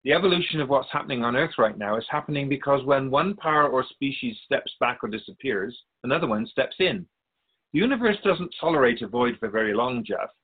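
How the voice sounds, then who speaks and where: A man playing a wise, wry otherworldly spirit guide in a radio drama interview, measured and philosophical. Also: medium pitch, expressive, breathy edge, narrow, tinny audio. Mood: earnest, probing. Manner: measured, philosophical, gently admonishing.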